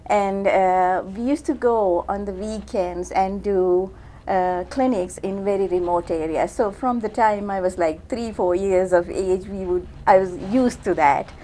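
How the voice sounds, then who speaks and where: A woman's voice: mid-range voice, a little slow, warm tone, a little hiss or hum. A middle-aged woman from Sri Lanka, a physician, talking through an interview in a casual way with many fillers and restarts. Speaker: physician guest.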